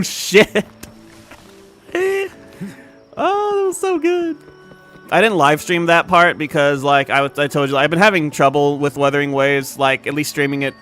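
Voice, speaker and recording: Young man, bright forceful voice, casual swearing stream chatter, faint music behind. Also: fairly high pitch. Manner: laid-back and profane, with frequent fillers and laughs. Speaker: game streamer.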